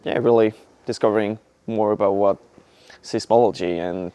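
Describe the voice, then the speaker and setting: A man's voice: on the low side, fairly even intonation, normal speed, warm tone. A man in his twenties from southwestern France with a French accent, a PhD student giving an upbeat self-introduction. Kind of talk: short self-introduction to camera.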